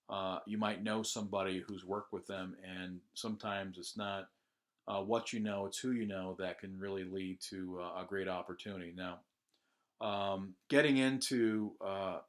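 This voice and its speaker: A middle-aged American man with a slightly low voice shares his own experience in a relaxed, first-person way. He talks off the cuff with frequent "uh" and "um" pauses as he narrates over a screen recording. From United States. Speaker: job candidate sharing a testimonial. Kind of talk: casual first-person testimonial.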